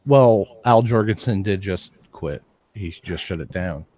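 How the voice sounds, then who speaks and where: Man, low voice, matter-of-fact, faint background hiss. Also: a little slow, darkish tone. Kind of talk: casual chat.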